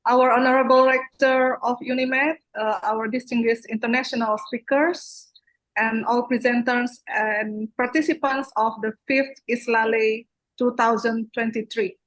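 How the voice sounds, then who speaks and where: A woman's voice: a little high, steady tone, a little slow, a bit bright, low-quality recording. A woman moderates a seminar session, formally reading out a speaker's introduction. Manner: formal, reads a prepared introduction.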